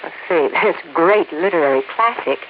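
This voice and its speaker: An actress playing a patient, gently teasing housewife in an old radio advertising skit. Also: female voice, fairly low pitch, lively intonation, moderate pace, close to an everyday voice. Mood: cheerful. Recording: tinny old radio recording with hiss.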